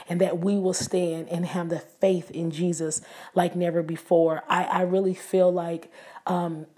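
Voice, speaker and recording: A woman with a raspy voice hosting a casual devotional podcast, talking earnestly and prayerfully to her listeners. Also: lowish voice, darkish tone. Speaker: podcast host. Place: living abroad, indoors.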